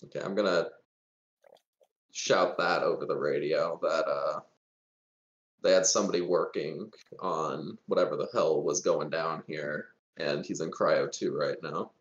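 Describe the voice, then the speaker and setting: A man's voice: very deep voice, a little slow. A man chatting casually as a player in a tabletop roleplaying game, recorded with a dull, roomy sound. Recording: dull, echoey room sound.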